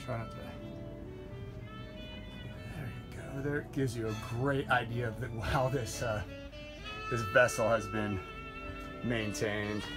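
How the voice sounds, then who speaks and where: A relaxed, chatty man in his forties tours a sailboat while filming on his phone, mid-pitched and easygoing, correcting himself as he goes. Music plays clearly in the background of the phone recording. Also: unhurried, pauses often. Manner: relaxed, chatty, with frequent fillers and self-corrections. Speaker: yacht broker. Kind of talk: casual walkthrough tour. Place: aboard a sailboat in a marina, deck and cabin. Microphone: handheld phone camera.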